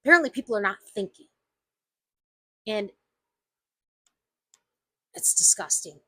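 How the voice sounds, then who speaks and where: A woman's voice: mid-range voice, expressive, a little slow, sharp tone, husky, no echo, clean studio sound. A woman with a slight Southern US accent, ranting indignantly to camera in a video of her own, emphatic and repeating her points. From United States. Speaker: vlogger. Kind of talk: angry opinion rant to camera.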